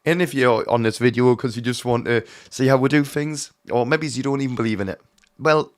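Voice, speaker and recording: A hoarse man giving an earnest, emphatic teaching talk on mediumship, often calling his viewers his friends. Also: mid-range voice, a little fast. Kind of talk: direct-to-camera teaching talk.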